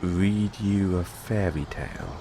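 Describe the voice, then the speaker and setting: A man's voice: sits low, expressive, takes it slowly, vocal fry, dark tone, a little hiss or hum, exaggerated voice. A man playing a playful, affectionate boyfriend in an intimate bedtime audio roleplay. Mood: affectionate, soothing. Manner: teasing, affectionate.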